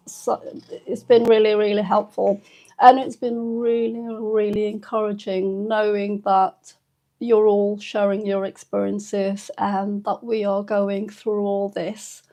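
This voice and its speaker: A woman in her fifties hosting a casual live stream. She is emotional and a little tearful, and talks softly and hesitantly, with many pauses and fillers. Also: mid-range voice, level tone. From United Kingdom. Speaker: channel host.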